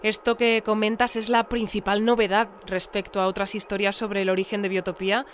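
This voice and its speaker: An actress playing a composed, formal presenter of a news bulletin in an audio drama. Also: female voice, medium pitch, level tone, brisk, a little hiss or hum, lightly acted. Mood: composed, informative. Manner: composed, formal, clearly scripted.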